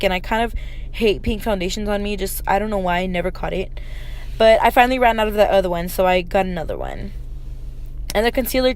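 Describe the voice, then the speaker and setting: Chatty young woman, noisy recording. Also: medium pitch. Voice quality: slightly raspy. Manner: chatty, informal, addressing viewers directly. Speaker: beauty vlogger. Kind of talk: casual makeup tutorial voice-over. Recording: noticeable background noise.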